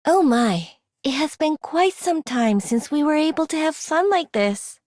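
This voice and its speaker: A woman's voice: high-pitched, animated, unhurried, a little mellow, no room sound, clean studio sound. A woman voicing an elegant, playful lady in a video game with genteel, teasing delivery. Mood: playful, poised. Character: elegant, playful refined lady who enjoys wine. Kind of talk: game character acting.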